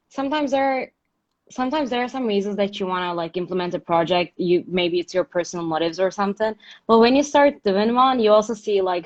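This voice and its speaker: A woman's voice: medium pitch, a little mellow. A young woman from Turkey, casually interviewing a guest over a video call and filling her talk with "like" and "uh". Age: young adult. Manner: chatty, leans on "like" and "uh". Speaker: interviewer on a livestream. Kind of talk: casual chat. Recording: dull lo-fi livestream audio.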